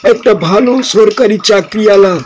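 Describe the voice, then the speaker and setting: Devoted fisherman father, male actor for cartoon: earnest, very high-pitched. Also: steady tone, fairly quick, a little mellow, a little hiss or hum, lightly acted. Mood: earnest, fond. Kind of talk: cartoon dialogue.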